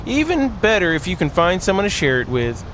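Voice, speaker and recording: A man voicing a kind, encouraging stranger in a visual novel, read in a gentle, coaxing, conversational way. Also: high voice, wildly swooping pitch, a little fast, rough recording. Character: kind, encouraging stranger sheltering from a winter storm.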